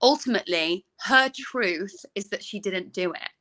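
A woman's voice: mid-range voice, lively intonation, moderate pace, pushed and bright. A young woman, an actress guest, talking earnestly about playing her role over a video call. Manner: thoughtful, earnest, some hesitant restarts. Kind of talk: casual interview chat.

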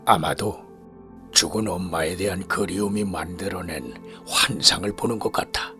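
Older male professor, acted: paternal, old-fashioned, halting delivery, very tense edge, faint music behind, horror story. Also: low-pitched, sharp tone. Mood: pensive, grave. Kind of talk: dramatized horror story acting.

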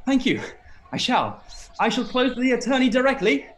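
A high-voiced, animated young Regency gentleman acted by a man, cheerful, eager and well-mannered. The sound is a video call with faint noise. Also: normal speed, exaggerated voice.

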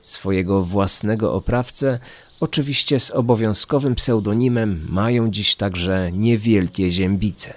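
A man's voice: lowish voice, unhurried. A man reading scripted true-crime narration as the narrator of a podcast. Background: background music.

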